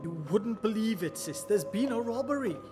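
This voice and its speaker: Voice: mid-range voice, animated, normal speed, natural voice. An actor as a whining, cowardly thieving manservant. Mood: flustered. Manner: pleading, stammering, flustered. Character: James Ryder, cowardly, whining manservant and thief. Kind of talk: festive radio play acting. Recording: long hall reverb, loud music underneath.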